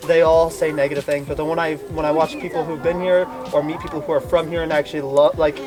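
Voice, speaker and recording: A man's voice: a little high, level tone, fast. A young man from the United States, a travel vlogger on a mountain trail eagerly interviewing hikers in a casual, rambling way.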